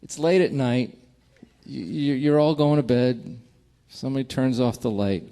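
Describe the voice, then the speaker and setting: Guy hosting a radio show, normal pitch, loose chatty interview style, kinda jokey and teasing. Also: moderate pace, mellow. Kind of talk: casual on-stage interview.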